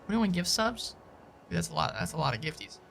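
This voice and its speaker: A man's voice: fairly high pitch, lively intonation, fairly quick, vocal fry, a bit bright, slight background noise. A man giving casual livestream commentary on a game, offhand and laid-back, in short reactions. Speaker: game streamer. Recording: game music behind the voice. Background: game soundtrack music.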